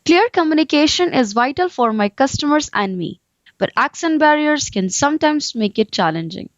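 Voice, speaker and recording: Female call center agent demo voice, high pitch, lo-fi clip. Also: animated, normal speed, dry recording. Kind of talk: scripted demo narration.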